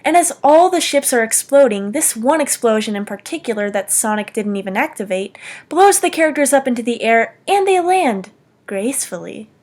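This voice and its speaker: A woman's voice: fairly high pitch, lively intonation, moderate pace, darkish tone. A young woman narrating a scripted, humorous video game commentary in a self-deprecating, mock-dramatic way.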